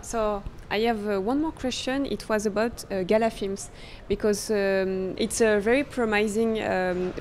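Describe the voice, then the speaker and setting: French woman with a halting English. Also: mid-range voice, a little mellow, slight background noise. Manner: halting, searching for words with many fillers. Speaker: interviewer. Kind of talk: conference interview. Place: crypto conference venue.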